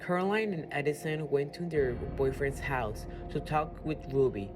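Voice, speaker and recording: A woman reading scripted radio drama narration haltingly, correcting small slips as she goes. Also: low-pitched. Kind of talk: scripted narration, read aloud. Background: music.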